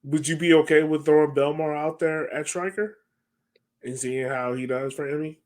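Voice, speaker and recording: A man's voice: on the high side, fairly even intonation, normal speed, darkish tone. An American man in his thirties chats casually and easygoing as a sports podcast host on a video call. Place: home room, indoors, on a video call.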